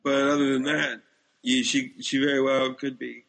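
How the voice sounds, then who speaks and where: A man's voice: medium pitch, little pitch movement, moderate pace, creaky, a bit bright. A man co-hosting a casual podcast, giving opinions in a blunt, dismissive way. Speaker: podcast co-host. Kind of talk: casual opinionated review chat.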